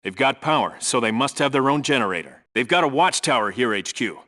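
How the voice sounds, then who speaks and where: An actor playing a terse, commanding Navy SEAL team leader, giving clipped, businesslike reports in a military video game. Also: male voice, medium pitch, animated, fairly quick, sharp tone. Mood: focused, reporting.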